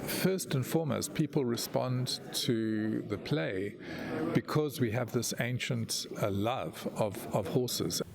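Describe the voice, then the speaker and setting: A man giving reflective interview answers in thoughtful, measured phrases. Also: mid-range voice, creaky, crowd noise.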